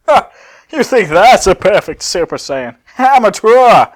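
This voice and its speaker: A man's voice: high-pitched, very animated, measured pace, voice pushed up. A man voicing an arrogant, scornful android villain from anime fanfiction, with pompous, taunting delivery. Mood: scornful, boastful.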